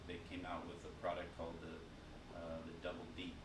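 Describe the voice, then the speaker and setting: A man giving a relaxed marketing talk at a dinner event, full of fillers and restarts. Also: low voice, fast talker, noisy background. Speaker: guest speaker on marketing. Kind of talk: casual presentation with Q&A. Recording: echoey room, distant mic, background chatter.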